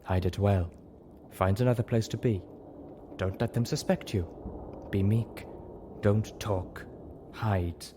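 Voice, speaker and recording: A scarred zebra mare voiced by a man from a fantasy audiobook, weary and haunted after slavery. The voice is low, heavy and grave, in short halting phrases, with faint noise on the recording. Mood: grim, hushed.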